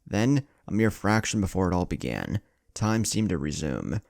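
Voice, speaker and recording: A man's voice: low-pitched, big pitch swings, moderate pace, creaky, darkish tone, no echo, clean studio sound. A man narrating an anime fan story in a dramatic scripted style.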